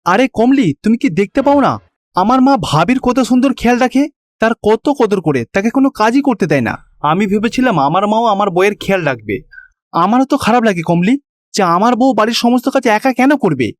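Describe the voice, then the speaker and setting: A man plays an earnest young cartoon husband, protective and pleading. His voice is high and sounds close and dry. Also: expressive, a little fast, no room sound. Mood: troubled.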